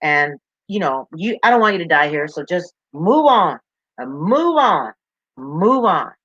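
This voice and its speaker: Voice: medium pitch, fairly quick, pushed and bright, dull audio, natural voice. A storyteller voicing a bitter, burned old female oak tree, self-pitying and dismissive, with folksy, emphatic, repetitive refusals in an oral children's story.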